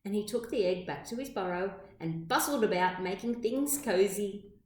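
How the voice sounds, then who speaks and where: In an echoey room, a woman reads a children's story aloud. Her medium-pitched voice moves through the sentences deliberately, shaping phrases with teacherly drama. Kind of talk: expressive storybook read-aloud.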